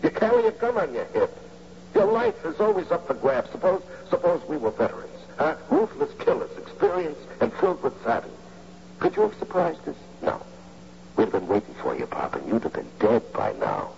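An actor playing a persuasive, stammering amateur bank robber who takes the lead, in an old radio drama. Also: male voice, a little high, wildly swooping pitch, brisk, creaky, darkish tone, full character voice. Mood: wheedling. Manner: pleading, persuasive, hesitant stammering. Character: fast-talking, wheedling amateur male bank robber, the leader. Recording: muffled, hissy old radio recording.

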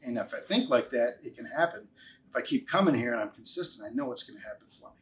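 A man's voice: mid-range voice, lively intonation, quick pace, slight background noise. A man in his forties talking conversationally as an interview guest, giving a testimonial with emphatic, repeated key phrases. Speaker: interview guest, financial advisor.

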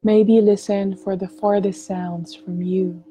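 Woman doing guided meditation, super mellow n soothing, lots of pauses, bit of background noise. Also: mid-range voice, flat delivery. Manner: gentle, soothing, unhurried with long pauses.

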